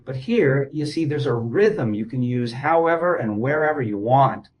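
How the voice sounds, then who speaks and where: A man's voice: mid-range voice, animated, moderate pace. Insistent man talking through therapy. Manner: insistent, repeats questions to check understanding. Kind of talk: group therapy session talk.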